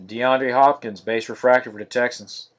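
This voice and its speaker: A man hosting a live-streamed card break, naming each card in a steady, monotonous voice. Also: mid-range voice, moderate pace. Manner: monotonous, steady listing of cards.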